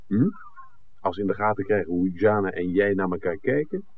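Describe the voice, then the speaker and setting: An actor playing a shrewd, dryly teasing film scout in a 1960s radio play, speaking in an articulate, deliberate way. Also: male voice, low-pitched, animated. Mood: wry, emphatic. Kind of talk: 1960s radio drama acting. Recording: muffled, noisy old radio broadcast recording.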